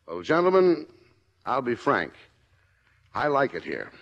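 A man's voice: mid-range voice, huge pitch swings, unhurried, husky, full character voice. An American man acting a harried middle-aged football coach in a 1950s radio comedy, emphatic and prone to stammering. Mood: earnest, sheepish. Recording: dull, band-limited old radio recording.

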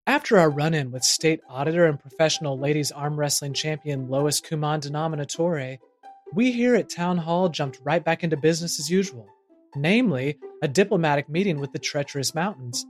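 A man hosting a comedy podcast, reading playful scripted narration and ads. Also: on the high side. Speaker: podcast host.

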